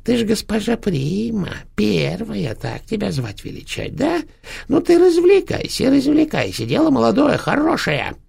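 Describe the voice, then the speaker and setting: A man's voice: a little high, sing-song, moderate pace, vocal fry, slightly rough, voice pushed up, exaggerated voice. A man voicing a dead, rotting old witch grandmother who sneers and scolds her granddaughter in a cracked, rattling voice. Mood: mocking. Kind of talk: audiobook narration.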